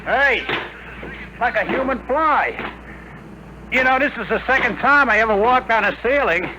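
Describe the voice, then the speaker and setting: Excitable wisecracking rocket radioman, high-pitched. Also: normal speed. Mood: wry. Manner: colloquial, folksy, wisecracking. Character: Sweeney, wisecracking working-class rocket radioman, grumbling and excitable. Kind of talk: 1950s radio drama acting. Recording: noisy old 1950 radio broadcast.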